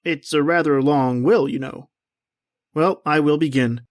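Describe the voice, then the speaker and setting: Radio drama executor male actor: stiffly formal, deliberate, dutiful gentleman, very little room sound. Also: fairly high pitch, no echo, studio quality, natural voice. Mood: formal, measured. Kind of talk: old-time radio drama acting.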